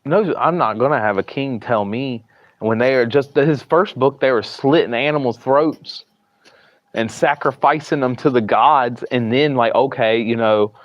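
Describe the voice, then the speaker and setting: A man's voice: unhurried, no room sound. Rambling man with a thick Southern accent. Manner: rambling, restarts often, trails off into low mumbles. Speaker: livestreamer. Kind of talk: casual rambling livestream talk. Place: hospital room. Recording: dry, slightly dull livestream audio.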